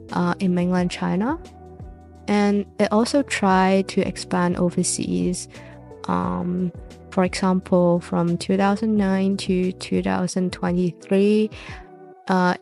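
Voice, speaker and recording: A young woman from Hong Kong, a native Cantonese speaker, hosting an educational podcast in a casual, unhurried way with frequent ums and uhs. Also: mid-range voice, gravelly, warm tone. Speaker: podcast host.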